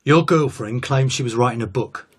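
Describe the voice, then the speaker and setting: A man's voice: medium pitch, moderate pace, husky, natural voice. A man playing an insistent police interrogator in a naturalistic radio drama. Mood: pressing, accusing.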